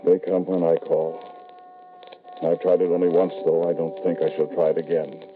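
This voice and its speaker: A man's voice: low-pitched, completely flat delivery, normal speed, music in the background, lightly acted. An actor playing a brooding, grave man who confides in an understated, conversational 1940s radio drama style. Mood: grave, confiding. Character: brooding man confiding his dealings with spirits. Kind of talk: 1940s radio drama first-person narration. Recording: noisy, narrow vintage radio recording.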